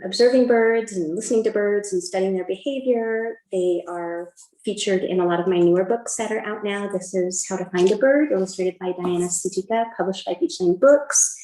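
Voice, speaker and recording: A woman's voice: medium pitch, darkish tone, slight background noise. A middle-aged woman with an American accent talks conversationally as a guest author, answering interview questions about her work. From Illinois, United States. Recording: roomy, echoing room sound.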